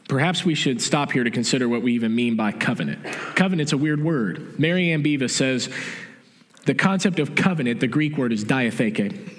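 A middle-aged man preaching a sermon in a church sanctuary, explaining calmly and restating his key points. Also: mid-range voice. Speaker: pastor. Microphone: stage mic from a far camera. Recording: long church reverb.

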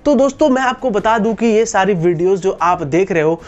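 Young Indian man, higher-pitched voice. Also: animated, a little fast, lo-fi sound. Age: 20s. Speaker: YouTube host. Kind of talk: explanatory talk to camera. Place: indoors, small room against a wall. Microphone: lapel mic.

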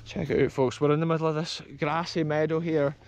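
A man's voice: fairly high pitch, little pitch movement, fairly quick, background noise. Enthusiastic Scottish man filming outdoors. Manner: enthusiastic, addresses viewers as folks. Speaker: explorer vlogger. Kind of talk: walking tour commentary. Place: open grassy field outdoors. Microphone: action camera held close.

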